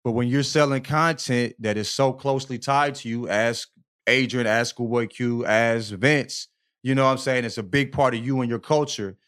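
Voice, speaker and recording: A man's voice: mid-range voice, steady tone, normal speed, a bit bright. A man co-hosting a podcast in a loose, slangy conversational style, swearing freely and often checking in with his listener. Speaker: podcast co-host. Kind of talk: casual conversational podcast discussion.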